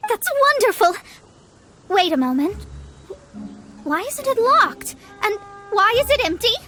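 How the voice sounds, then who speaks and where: Proud young female scholar, very high voice, theatrical formal wording, exasperated temper, video game, faint music. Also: big pitch swings, measured pace, sharp tone, exaggerated voice. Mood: alarmed. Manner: formal, haughty, theatrical phrasing. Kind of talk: scripted video game voice acting. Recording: studio voice over game music.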